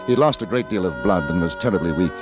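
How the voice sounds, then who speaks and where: An actor playing a weary, commanding frontier doctor in an old-time radio western, speaking in a formal, measured way. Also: male voice, low-pitched, lively intonation. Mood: somber, reflective. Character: weary, intense male frontier doctor who also narrates. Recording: old radio recording with music behind.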